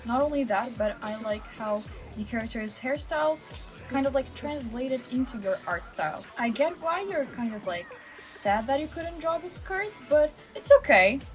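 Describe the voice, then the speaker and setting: Girly high voice, woman chatting about drawings, super animated intonation, music in back. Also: moderate pace. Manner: chatty, encouraging. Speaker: co-host, digital artist. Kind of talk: casual speedpaint commentary. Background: background music.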